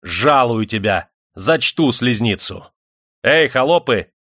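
A man's voice: mid-range voice, lively intonation, takes it slowly, fairly bright tone, no room sound, clean studio sound. A man voicing a domineering, gleefully cruel eighteenth-century factory owner in an audiobook, bellowing and crowing his exclamations. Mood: triumphant, mocking. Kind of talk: dramatised audiobook character voice.

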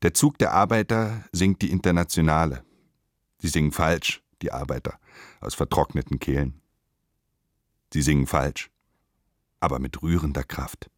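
A man narrates a literary radio drama in a measured, declamatory way with emphatic phrasing. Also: rumbling low, dry recording, clean studio sound.

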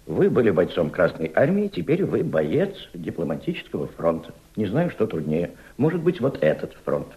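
An actor playing an impassioned senior Soviet diplomat in a radio drama, mentoring a young subordinate with emphatic, didactic rhetoric and deliberate repetition. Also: male voice, low voice, huge pitch swings, dark tone, muffled recording. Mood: firm, fatherly. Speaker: actor in an audio play.

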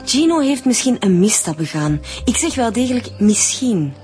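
A woman's voice: on the high side, sing-song, moderate pace, darkish tone, faint music behind. An actress playing a guarded, defensive woman being questioned in a radio drama. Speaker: victim's daughter.

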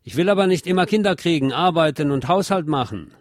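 A man's voice: on the high side, fast, no echo, clean studio sound, voice pushed up, lightly acted. A man voicing a timid young factory apprentice who longs for freedom, reading plaintive quoted song lines within his narration. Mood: plaintive protest.

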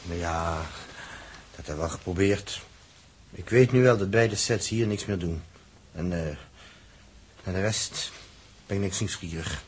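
A deep-voiced, caring spaceship crewman. He is laconic and down-to-earth, soothing like a gruff father, in an old radio play with some recording noise. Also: unhurried. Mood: dry, matter-of-fact. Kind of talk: 1960s Dutch radio drama acting. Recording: noisy early 1960s radio drama recording.

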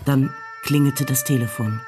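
A man narrating a radio play with brisk, ironic storytelling. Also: mid-range voice, steady tone. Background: music.

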